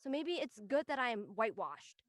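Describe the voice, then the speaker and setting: A woman's voice: fairly high pitch, big pitch swings, a little fast, no room sound, clean studio sound. A woman playing an earnest, protective, easily exasperated young college student in an audio drama. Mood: pained. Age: young adult. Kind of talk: acted audio drama dialogue.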